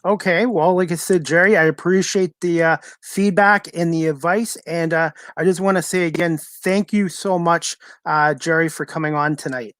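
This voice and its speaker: Higher-pitched man hosting a podcast. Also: fairly even intonation, moderate pace, hardly any pauses, a bit bright, no room sound, studio quality. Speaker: podcast host. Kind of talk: casual live podcast hosting.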